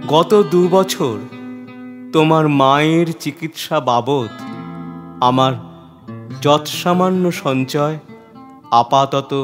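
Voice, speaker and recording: Male reciter as broken elderly schoolmaster in a dramatic poem: grave, deliberate, slightly high voice, reverberant. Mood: grave, wounded pride. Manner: solemn, deliberate, with weighted pauses. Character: despairing old schoolmaster father writing his suicide note. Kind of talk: dramatic Bengali poetry recitation. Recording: long reverb, soft guitar music behind.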